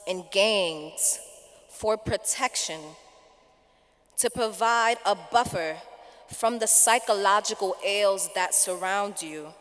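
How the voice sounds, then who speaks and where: A woman student giving a prepared personal speech with slow, deliberate, emphatic delivery. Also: medium pitch, a bit bright. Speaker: student speaker. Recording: long hall reverb.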